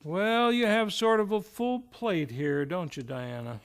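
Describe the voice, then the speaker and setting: A man's voice: high voice, measured pace, smooth. A man hosting and narrating in a scripted, florid old-time radio announcer style. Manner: theatrical, florid presenter delivery. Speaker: series host and narrator. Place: live on stage in a theater.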